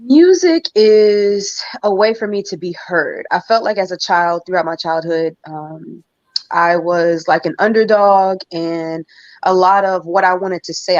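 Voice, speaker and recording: Measured, reflective woman, muffled dry sound. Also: mid-range voice, no room sound. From New York. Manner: reflective, thoughtful, measured with fillers. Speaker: singer guest. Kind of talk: casual interview talk.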